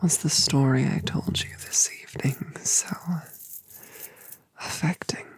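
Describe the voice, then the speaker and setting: A woman voicing a colossal, gentle, motherly dragoness in a whispered audio drama, soothing and affectionate with genteel phrasing. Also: extremely low pitch, fairly even intonation, a little slow, slightly rough, dry recording, full character voice. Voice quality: soft whisper.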